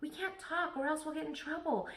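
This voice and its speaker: A woman's voice: sits high, steady tone, brisk, fairly bright tone, far from the mic, voice pushed up. A woman voicing a bossy, know-it-all kindergarten girl in a children's storybook, snippy and emphatic. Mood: snippy, insistent. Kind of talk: children's storybook read-aloud. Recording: echoey room.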